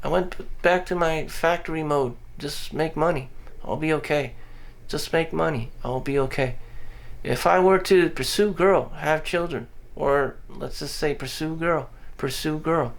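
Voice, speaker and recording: A man's voice: fairly high pitch, unhurried, creaky. A man talking bluntly in a rambling personal monologue for his vlog, full of "you know" fillers, restarts and casual swearing. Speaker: vlogger. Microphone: audio-only recording.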